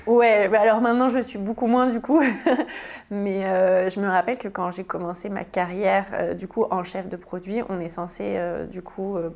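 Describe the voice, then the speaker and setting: French woman, thirties, interview guest, hesitant, lots of fillers, mid pitch. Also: little pitch movement, normal speed, creaky, a little mellow. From France. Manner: hesitant, many fillers and restarts. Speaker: guest, tiny house builder. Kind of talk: casual interview answers.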